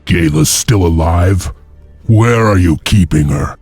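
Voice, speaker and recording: A heroic clan chieftain in a video game. His very low, husky voice is terse, stern and commanding, with faint music underneath. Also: takes it slowly, darkish tone, dry recording, heavily acted.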